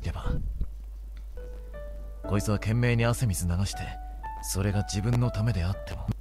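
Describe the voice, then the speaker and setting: A man's voice: lowish voice, steady tone, quick pace, lightly acted. A man voicing a blunt, earnest workman mentor who makes solemn declarations in an anime visual novel. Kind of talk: Japanese visual novel voice acting. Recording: game dialogue over clear background music.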